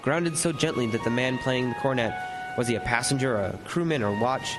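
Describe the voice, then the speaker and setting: A haunted lighthouse keeper played by a man recounts his ordeal as a first-person radio horror narration. He speaks haltingly, repeating words and leaving suspenseful pauses, with loud music behind the voice. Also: mid-range voice, natural voice. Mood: hushed suspense.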